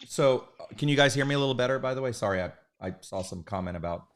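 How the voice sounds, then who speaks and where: A man hosting an online art event with a slightly hoarse voice from the flu, chatting casually and rambling, with lots of fillers and jokes at his own expense. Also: medium pitch, expressive, fast, crowd noise. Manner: rambling, filler-heavy and self-joking. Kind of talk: casual livestream chat. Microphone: streaming mic.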